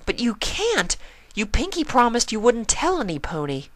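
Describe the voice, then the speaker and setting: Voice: mid-range voice, wildly swooping pitch, moderate pace, no echo, pitched above the performer's own voice. A storyteller voicing an earnest, concerned young female pony who gets pleading.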